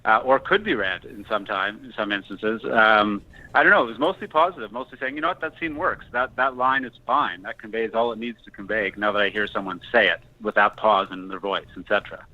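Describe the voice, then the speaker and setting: A man's voice: lowish voice, brisk, highs cut off. A man giving reflective, somewhat hesitant answers as a screenwriter in a casual interview.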